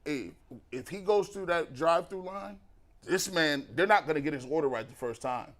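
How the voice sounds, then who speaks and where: A man with a notably high voice for a man. He trades jokes and tells teasing stories in loose banter on a sports talk show. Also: moderate pace. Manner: joking, storytelling, lively teasing. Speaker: sports radio co-host. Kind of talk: casual banter.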